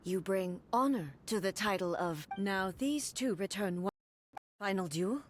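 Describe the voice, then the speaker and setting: A woman's voice: mid-range voice, expressive, a little slow, a little hiss or hum, close to an everyday voice. An actress playing a solemn, imperious female warrior ruler in a video game, speaking in a formal, grave, declamatory way. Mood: solemn, admiring. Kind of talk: dramatic video game character acting.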